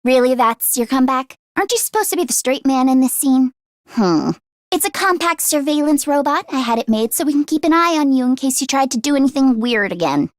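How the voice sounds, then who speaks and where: A woman voicing an excitable, earnest teenage girl inventor who gets easily flustered, in an expressive anime-style video game performance. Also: sits high, fairly quick, dry recording, studio quality. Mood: dry, grumbling. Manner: expressive, swinging from deadpan grumbling to frantic outbursts.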